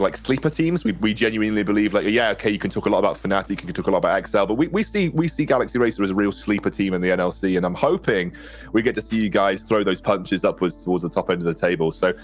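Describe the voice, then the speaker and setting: Guy casting esports from home, low voice, talks fast, lots of uhs. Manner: fast, chatty, filler-heavy. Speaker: esports caster and host. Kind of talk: casual esports desk chat. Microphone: headset on a webcam call.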